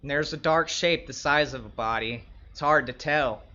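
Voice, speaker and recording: A man playing a wry, wary young escort who narrates his own story in measured, descriptive literary prose. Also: mid-range voice, sharp tone, highs cut off, close to an everyday voice. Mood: wary, suspicious. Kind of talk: first-person literary narration.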